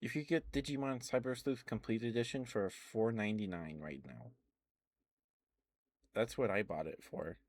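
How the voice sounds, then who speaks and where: A man streaming ASMR, chatting softly and rambling with frequent fillers and little laughs. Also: lowish voice, moderate pace. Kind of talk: casual rambling chat.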